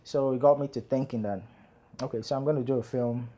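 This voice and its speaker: A man, a screenwriter guest chatting in an interview, relaxed and rambling with many fillers. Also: mid-range voice, quick pace. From Nigeria. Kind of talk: casual interview chat.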